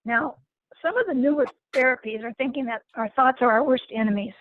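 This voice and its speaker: Hoarse woman facilitator, high voice, gentle, halting, heard on a thin video call line. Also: phone-quality sound, a little hiss or hum. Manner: gentle, repeats words while finding them. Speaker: guest facilitator. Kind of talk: informal lecture to an online group.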